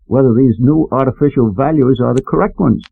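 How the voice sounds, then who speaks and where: Male panel expert, gravelly and fast, courteous formality, narrow tinny vintage sound. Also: medium pitch. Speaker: foreign trade expert on a discussion panel. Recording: thin, tinny radio-like old acetate disc.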